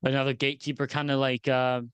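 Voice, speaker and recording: Smooth-voiced man hosting a sports chat. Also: mid-range voice, monotone, quick pace, fairly bright tone, dry recording. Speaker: sports show host. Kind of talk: casual sports podcast chat.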